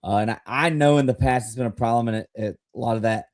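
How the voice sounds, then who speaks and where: A man hosting a podcast, asking an interview question in a measured way. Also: medium pitch, darkish tone, no echo, studio quality. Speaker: podcast host.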